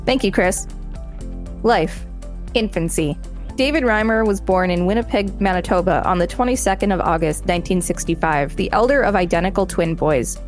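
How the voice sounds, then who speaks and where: A woman's voice: on the low side, expressive, moderate pace, soft background music. A woman podcast co-host reading an encyclopedia article aloud and adding commentary.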